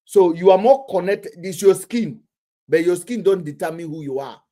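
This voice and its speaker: A man with a Nigerian accent, arguing forcefully in a heated casual debate and pressing others with questions. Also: high voice, normal speed.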